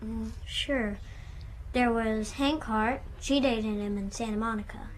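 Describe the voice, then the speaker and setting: Actor as little boy about ten, high voice, nervous n hesitant, radio play, some background noise. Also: fairly even intonation, slow. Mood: anxious, subdued. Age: child. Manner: hesitant, plain-spoken. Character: anxious ten-year-old boy questioned by police. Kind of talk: radio drama acting. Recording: noisy ambient background.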